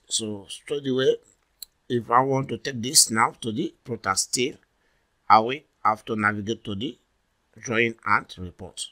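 Slow, bright-voiced man explaining software. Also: mid-range voice. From Nigeria. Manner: slow, deliberate, repeats "all right". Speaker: software tutorial presenter. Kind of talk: screen-recorded software walkthrough. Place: voice-over for screen recording.

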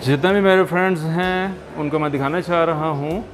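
A man's voice: on the high side, brisk, noisy background. A man casually narrating a travel vlog outdoors at a busy expo, chatting straight to his viewers like friends. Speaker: travel vlogger.

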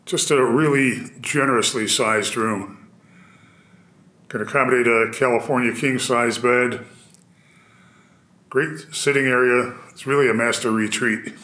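A man narrating a walkthrough of an empty apartment, describing each room in an upbeat real-estate pitch. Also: steady tone, measured pace, pauses often, bright, forceful tone. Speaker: apartment tour host. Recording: echoey empty rooms.

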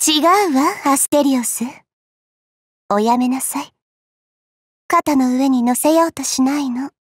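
Game goddess, small and youthful: high, breathy, unhurried, coy, elegant, haughty tease. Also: female voice, fairly even intonation, dry recording, studio quality. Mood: mildly scolding, fond. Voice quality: soft, breathy. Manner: elegant, coy, slow, refined ladylike speech. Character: petite, teasing, haughty young-looking immortal goddess. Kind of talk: Japanese mobile game character voice lines.

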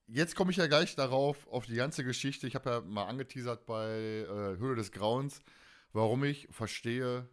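A man's voice: medium pitch, measured pace, long pauses. A man in his forties hosting a podcast in a casual, colloquial and enthusiastic chat style. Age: 40s. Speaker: podcast host.

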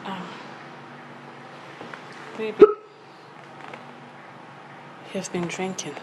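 A woman's voice: mid-range voice, steady tone, very slow, very noisy. A woman playing a flustered, frightened wife who stammers and pleads in a melodramatic film. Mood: defensive, wary. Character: flustered, frightened wife placating her husband.

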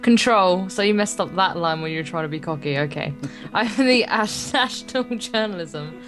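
A slightly low-voiced woman reads a visual novel aloud as a Let's Play host. She is informal and jokey, tripping over the text at times, with faint guitar music behind her. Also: lively intonation, moderate pace, a bit bright. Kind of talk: reading game text aloud with casual banter.

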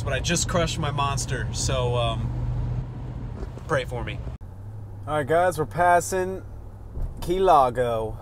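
A young man chatting casually to the camera while driving, rambling and joking with goofy outbursts, filmed at arm's length. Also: fairly high pitch. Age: young adult. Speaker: fishing vlogger. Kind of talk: casual vlog talk to camera. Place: inside a pickup truck while driving. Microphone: phone or action camera at arm's length. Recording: road noise from a moving car.

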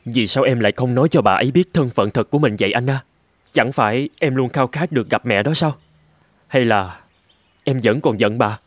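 A man playing a gentle, supportive husband in a cartoon drama, speaking in a measured, consoling way. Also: mid-range voice, dry recording, lightly acted.

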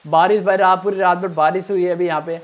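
Young male gamer, slightly high voice, casual thinking-aloud commentary, faint crackling noise behind. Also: fairly even intonation, quick pace, lo-fi sound. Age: young adult. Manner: thinking aloud, repeats himself. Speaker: gaming streamer. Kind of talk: casual let's play commentary.

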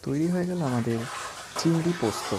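A home cooking channel host giving a brisk, plain, step-by-step recipe voice-over. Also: medium pitch, noisy background. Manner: brisk, plain explanatory delivery.

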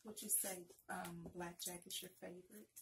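A middle-aged woman doing a casual, flirty ASMR roleplay as a card dealer, chatting in an unhurried, conversational way close to the microphone. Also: lowish voice, level tone, very noisy. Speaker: ASMR performer playing a blackjack dealer.

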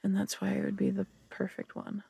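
A serious female police detective played by an actress in an audio drama. Her voice is heavy with vocal fry and husky, delivered in a businesslike way. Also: medium pitch, completely flat delivery, fairly quick, dark tone, dry recording, full character voice.

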